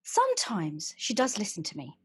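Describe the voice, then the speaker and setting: A woman playing a calm, protective female inner voice who speaks softly and soothingly in a solo stage monologue. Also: medium pitch, normal speed, breathy edge, dry recording, heavily acted. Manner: soft, soothing, confiding.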